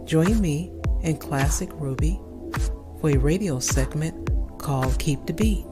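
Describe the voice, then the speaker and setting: A woman with a very deep voice reads a scripted radio ad in a clear, promotional manner. Loud music plays under her voice. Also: unhurried. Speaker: show host in an ad.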